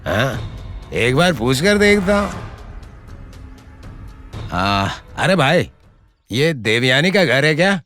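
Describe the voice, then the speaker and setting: A man's voice: medium pitch, very animated, takes it slowly, pauses often, a bit bright, faint music behind. A man voicing a pushy, desperate cartoon character who pleads insistently. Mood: puzzled. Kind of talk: cartoon voice acting.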